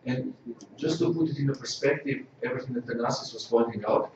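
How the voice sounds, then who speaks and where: A man from Slovenia giving a corporate results presentation, measured and full of filler "uh"s. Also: mid-range voice. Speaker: company executive presenting results. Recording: echoey hall, distant dull mic, faint noise.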